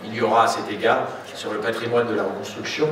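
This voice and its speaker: A man delivers a formal speech in a very reverberant room, with faint noise around him. His phrasing is deliberate and rhetorical, like a local official addressing a gathering. The microphone picks him up from some distance. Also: lowish voice, steady tone. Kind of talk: formal ceremonial public speech.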